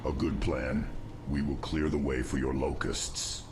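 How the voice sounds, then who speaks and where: A man playing a grave, resolute undead insectoid commander, speaking formally and with measured authority in a fantasy game cutscene. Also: rumbling low, level tone, heavy background noise. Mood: commanding.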